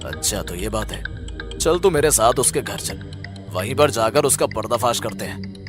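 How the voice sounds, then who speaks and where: A man's voice: on the low side, lively intonation, normal speed, over music, close to an everyday voice. A man voicing a stern, angry village headman in a cartoon story, commanding and accusing. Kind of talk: Hindi cartoon story acting.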